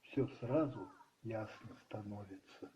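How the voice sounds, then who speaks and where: A man narrating a radio play as a storyteller, expressive and reflective, with pauses and musing asides. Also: lowish voice, slight background noise. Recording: slightly muffled recording with music underneath.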